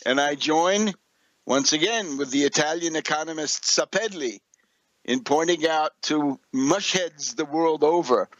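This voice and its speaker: A man giving extemporaneous political and historical commentary as a radio host, deliberate and emphatic, with professorial asides and sardonic phrasing. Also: fairly high pitch, a bit bright, no room sound, poor audio.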